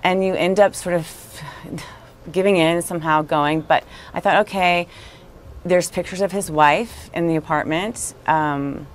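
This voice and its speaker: A woman recounting a personal experience in an interview, speaking in a halting, earnest way. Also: on the low side. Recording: noisy interview clip playing.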